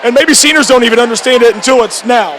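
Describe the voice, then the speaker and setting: Male coach, very high voice with fry, restarting phrases in a postgame interview over a loud crowd. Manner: repetitive, halting restarts mid-phrase. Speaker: high school basketball coach. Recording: noisy gym with loud crowd behind. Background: crowd and clear background noise.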